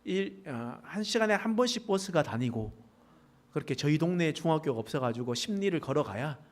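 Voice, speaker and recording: Animated, folksy Korean pastor with a regional lilt. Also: male voice, fairly quick. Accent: Gyeongsang dialect traces. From South Korea, Pohang area. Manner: folksy, joking asides with congregation, animated pitch swings. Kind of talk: sermon. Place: church sanctuary.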